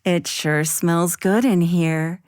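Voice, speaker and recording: A woman as a calm, measured storybook mother. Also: on the low side, no echo, studio quality, pitched below the performer's own voice. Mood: gently concerned. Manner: measured, thoughtful, gentle questioning. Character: calm, caring mother of a young girl. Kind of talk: children's storybook character voice.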